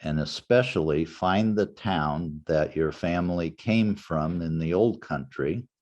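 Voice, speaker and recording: An older man teaching a genealogy class in a relaxed, anecdotal way, walking the class through a website step by step. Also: rumbling low. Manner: anecdotal, conversational, walks through website steps. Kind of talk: informal lecture with screen demo.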